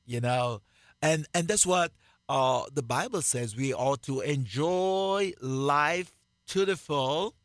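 A man with a Jamaican accent, preaching on a radio broadcast in a slow, emphatic way and repeating key phrases. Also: on the high side, fairly bright tone, dry recording, clean studio sound. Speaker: pastor. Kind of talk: radio sermon, co-hosted Bible teaching.

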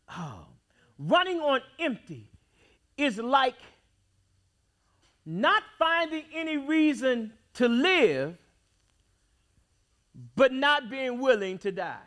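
A man preaching a sermon in a church, with dramatic swings between loud outbursts and quiet, drawn-out phrases. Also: very high voice, very animated. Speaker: pastor. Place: church sanctuary.

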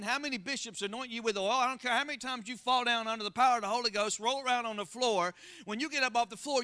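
A man's voice: extremely high pitch, sharp tone, smooth, dry recording, clean studio sound. A man from Florida with a Southern accent preaching a Pentecostal sermon, calling out to the congregation for amens and responses. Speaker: pastor.

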